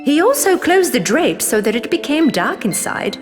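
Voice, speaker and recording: High, animated woman narrating a fairy tale. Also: normal speed. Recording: long reverb, clear music behind. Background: music.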